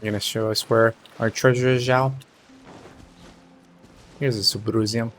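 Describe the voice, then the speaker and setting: A man's voice: mid-range voice, level tone, moderate pace. A man casually commenting on a game as he plays, playfully echoing bits of it. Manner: echoes game dialogue playfully. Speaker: streamer playing and reacting to a game. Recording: game music behind close voice.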